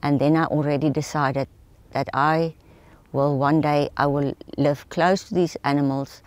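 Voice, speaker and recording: A woman's voice: sits low, steady tone, measured pace, no echo. A middle-aged woman, speaking as a wildlife project founder in a documentary interview. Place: outdoors in open bush, seated.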